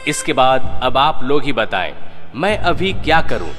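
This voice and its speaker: A man's voice: medium pitch, very animated, a little slow. An actor playing a proud, anxious Indian king in a children's folktale cartoon, speaking formally and theatrically. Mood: earnest, troubled. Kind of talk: Hindi children's cartoon dubbing. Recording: long reverb, faint music behind.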